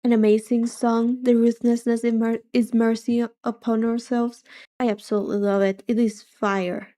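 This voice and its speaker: A soft-voiced woman livestreamer chatting casually and reacting, with a musing, hesitant, playful manner. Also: a little high, level tone, normal speed. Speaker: livestreamer, vtuber.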